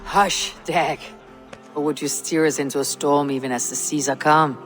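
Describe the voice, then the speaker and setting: An actress playing a proud, commanding Viking clan leader in a video game, with a measured, declamatory manner. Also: female voice, low voice, fairly even intonation, close to an everyday voice. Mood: firm, steady. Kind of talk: video game cutscene acting. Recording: reverberant hall with music behind.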